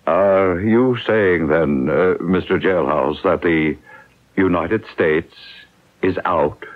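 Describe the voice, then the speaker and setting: An actor playing a flustered, hesitant president who speaks formally and haltingly in a 1970s radio drama. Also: male voice, low-pitched, a little hiss or hum. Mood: bewildered. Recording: tinny, band-limited 1970s radio drama.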